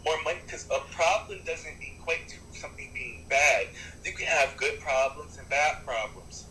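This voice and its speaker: Animated, bright-voiced man in echoey room. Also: on the high side, moderate pace, slight background noise. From United States. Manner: animated, restarts often, swears for emphasis. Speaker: rapper and artist, interview guest. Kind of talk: rambling interview answers.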